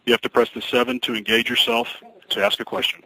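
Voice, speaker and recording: A man speaking as a company executive, answering questions on an investor conference call in a measured, formal, guarded way. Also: medium pitch, very animated, creaky, sharp tone. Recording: noisy conference call recording.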